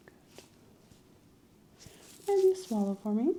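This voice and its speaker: A woman speaking softly and at times whispering in an ASMR medical roleplay, giving gentle, reassuring instructions as a doctor. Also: on the high side, sing-song, takes it slowly, echoey room. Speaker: ASMR performer playing a doctor doing a medical exam.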